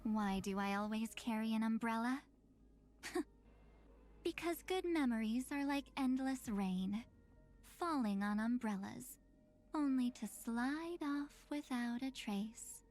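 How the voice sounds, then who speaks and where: An actress playing a wistful, enigmatic young woman made of memory in a video game, speaking theatrically with breathy sighing phrases. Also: a little high, slow pace, darkish tone. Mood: playfully smug. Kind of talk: scripted game character voicelines.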